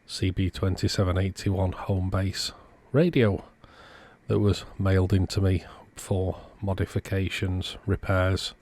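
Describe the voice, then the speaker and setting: Male repair technician, lower-pitched, casual narrated commentary for a hands-on video. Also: level tone, a little slow, darkish tone.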